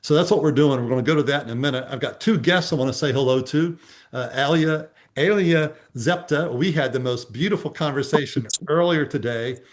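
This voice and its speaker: A man's voice: fairly high pitch, brisk. A man hosting a casual networking video call, upbeat and persuasive with lots of fillers.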